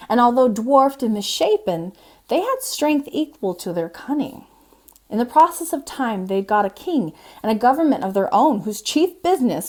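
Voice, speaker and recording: A woman reading a storybook aloud as a librarian host, in an expressive, measured storytelling manner. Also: a little high. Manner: expressive, measured storytelling with brief informal asides.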